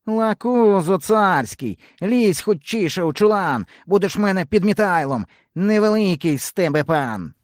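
A man's voice: high-pitched, expressive, measured pace, hardly any pauses, no echo, clean studio sound, pitched above the performer's own voice. A man voicing a bossy storybook fox, whining and scornful in his manner. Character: grumbling bossy old-world fox in his burrow.

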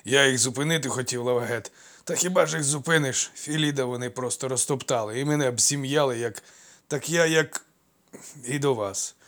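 A man voicing an old male ex-soldier, out of breath and wheezing, talking loud and urgent. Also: mid-range voice, fairly quick, lightly acted. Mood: rambling, breathless.

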